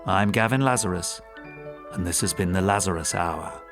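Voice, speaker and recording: A man giving scripted narration of gothic ghost stories as a host, in a theatrical, expressive storytelling manner. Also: deep voice, normal speed, music in the background. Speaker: podcast host and audiobook narrator.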